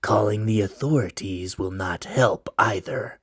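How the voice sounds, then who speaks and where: A man voicing a mysterious winged stranger who speaks low, hushed and slow in a storybook reading. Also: slightly rough, no echo, clean studio sound. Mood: ominous. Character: mysterious winged male stranger, calm and ominous.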